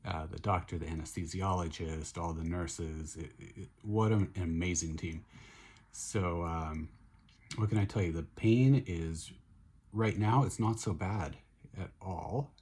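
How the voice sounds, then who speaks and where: A middle-aged man with a hoarse voice, chatting casually in a video diary, upbeat and relaxed with many fillers and restarts. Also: deep voice, a little slow, slight background noise. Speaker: vlogger recovering from surgery.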